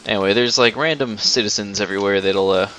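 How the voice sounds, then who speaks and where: A man's voice: lowish voice, fast talker, pushed and bright, slight background noise. A young man giving casual, sarcastic gameplay commentary, with some swearing. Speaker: let's play host.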